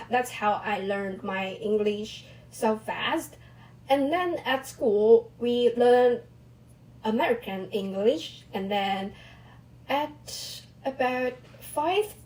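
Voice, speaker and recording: Slow-talking young woman, non-native English. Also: mid-range voice, a little mellow, rough recording. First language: Mandarin Chinese. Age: young adult. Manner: halting non-native English with restarts and small laughs. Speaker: vlogger. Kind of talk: casual personal monologue.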